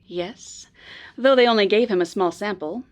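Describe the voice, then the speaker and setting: A woman playing a polite, earnest informant in a noir radio drama, speaking in a measured, precise way. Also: medium pitch, lightly acted. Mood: earnest, serious. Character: polite, earnest female informant helping a detective.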